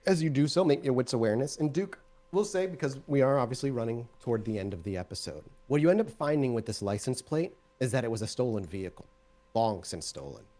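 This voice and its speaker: A man's voice: mid-range voice, lively intonation, quick pace, mellow. A man narrating a tabletop roleplaying game as game master, describing scenes directly to the players.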